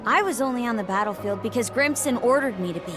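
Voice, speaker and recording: Woman doing a young warrior girl for a game, fairly high voice, blunt and determined, music behind. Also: animated, fast, natural voice. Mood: earnest. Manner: earnest, defiant, tough. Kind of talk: dramatic video game voice acting.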